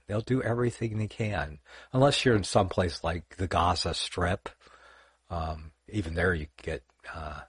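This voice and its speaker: A man's voice: deep voice, breathy edge, dry recording, studio quality. An older man teaching Bible interpretation on a livestream in a rambling way, with many fillers and self-corrections.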